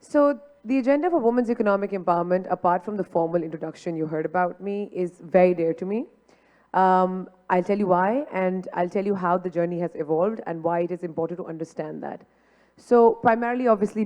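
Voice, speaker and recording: A woman's voice: lowish voice, quick pace, a little mellow. A woman speaking earnestly as a panel speaker at a conference, talking freely without notes. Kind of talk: conference speech. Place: conference hall.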